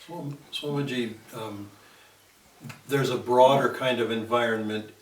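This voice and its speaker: A man's voice: mid-range voice, measured pace, distant mic. A man in the audience putting a hesitant, tentative question to the teacher. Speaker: audience member asking a question. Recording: roomy sound from away from the mic.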